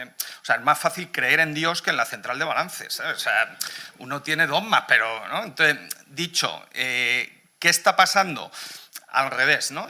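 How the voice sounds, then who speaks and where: A man's voice: mid-range voice, pushed and bright. A middle-aged man with a Spain Spanish accent speaking off the cuff on a panel, blunt and colloquial, peppered with question tags. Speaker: panel speaker at a business conference. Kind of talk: informal, off-the-cuff panel talk on economics.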